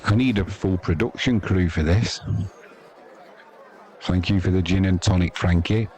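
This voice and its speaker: Deep-voiced cricket commentator, crowd behind him. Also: male voice, unhurried, a little mellow. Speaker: live cricket commentator, streamer. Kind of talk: casual live sports stream commentary, chatting with viewers. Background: sports crowd.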